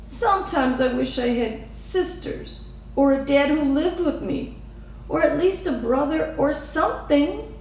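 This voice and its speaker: A woman's voice: sits high, expressive, unhurried, darkish tone, pitched above the performer's own voice. A woman voicing an earnest, wistful little girl from a storybook, with eager, wondering phrasing. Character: earnest, wistful little girl who loves her mother. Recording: echoey room, distant mic, faint noise.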